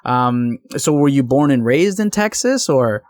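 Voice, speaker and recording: A man's voice: medium pitch, animated, unhurried, a little mellow, no room sound, clean studio sound. Easygoing male podcast host from Canada. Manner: friendly, easygoing questioner. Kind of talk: casual podcast interview.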